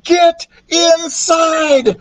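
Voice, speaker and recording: A man voicing an eager, quick-thinking young boy hero in a storybook, shouting with urgency. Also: very high-pitched, fairly even intonation, pitched above the performer's own voice, full character voice. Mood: shouting urgently.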